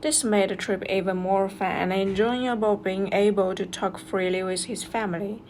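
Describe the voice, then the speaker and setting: A woman's voice: mid-range voice, steady tone, normal speed, a little mellow, slight background noise. A woman reads a scripted product review as a narrator, going through instructions step by step.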